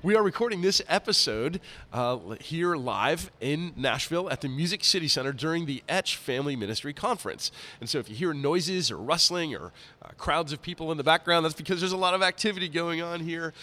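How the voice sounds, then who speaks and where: Raspy, congested male podcast host. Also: a little high, animated, a little fast, bright, forceful tone. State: nasally congested. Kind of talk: casual interview chat. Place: conference center during a busy event. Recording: live at a busy event venue.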